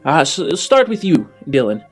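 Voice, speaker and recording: A man voicing a brisk, officious orphanage caretaker who hurries children along in a tabletop game. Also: on the high side, big pitch swings, soft background music, voice pushed up.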